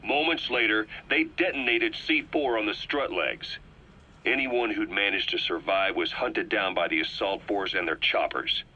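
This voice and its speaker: An actor playing a cold, calculating military interrogator in a video game cutscene, speaking with raspy, deliberate menace as he accuses. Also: lowish voice, pushed and bright, highs cut off, slight background noise. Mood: cold accusation.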